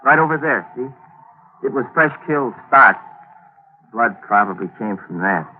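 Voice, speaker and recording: A man's voice: mid-range voice, expressive, normal speed, narrow, tinny audio, close to an everyday voice. A man playing a cold, scheming murderer who narrates his own crime in a 1940s radio mystery, giving clipped orders and confiding asides. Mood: evasive, uneasy. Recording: noisy, thin 1940s radio broadcast recording.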